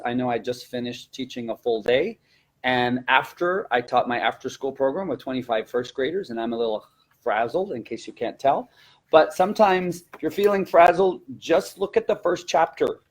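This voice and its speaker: A man who teaches elementary language classes, giving informal, encouraging and conversational advice in an instructional webinar. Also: mid-range voice.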